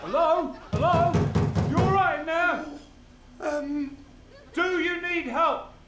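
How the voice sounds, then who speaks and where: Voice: very high-pitched, slow. An actor performing exclamatory dialogue in a television sitcom. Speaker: actors in a British TV sitcom clip. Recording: echoey TV clip with music.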